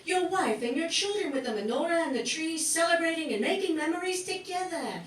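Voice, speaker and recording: A woman acts out a talking Christmas tree for a comic radio play, wry, dramatic and fond of guilt trips. The voice is high and swoops widely. It is picked up at a distance in an echoey room. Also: expressive, brisk, slight background noise. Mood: warm, wistful. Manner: theatrical, guilt-tripping, wry. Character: talking fir Christmas tree, dramatic, kvetching, proudly festive. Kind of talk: comic radio play acting before an audience. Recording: roomy stage sound, distant microphone.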